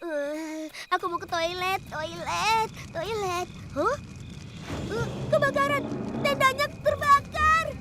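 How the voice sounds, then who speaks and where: A woman voicing an excited little boy in a cartoon dub, high and squeaky, always exclaiming. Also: takes it slowly, very noisy. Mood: alarmed. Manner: wide-eyed and exclaiming.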